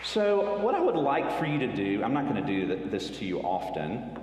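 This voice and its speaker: A man's voice: lowish voice, very animated, normal speed, darkish tone, some chatter behind, slight background noise. A man, speaking to a church retreat group in a friendly, personal storytelling way. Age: 50s. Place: church hall. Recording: echoey hall, faint ambience.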